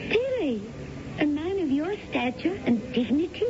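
A woman's voice: sits high, big pitch swings, moderate pace, over music. An actress playing a woman con artist who poses as a coy, bubbly widow in a 1970s radio drama. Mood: bubbly, delighted. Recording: dull, noisy vintage radio recording.

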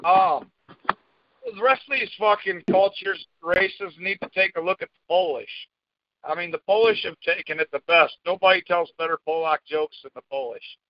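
A man's voice: high-pitched, normal speed, no room sound. A man co-hosting a podcast in casual chat, blunt and profane. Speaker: podcast co-host. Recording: dull, dry lo-fi audio.

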